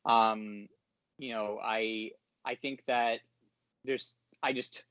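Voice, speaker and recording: A man's voice: fairly low pitch, fairly even intonation, slow pace, a bit bright, smooth, no echo. Hesitant man, dry dull call audio. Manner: hesitant, polite. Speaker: podcast guest, voice actor. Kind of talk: casual interview talk. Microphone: online call.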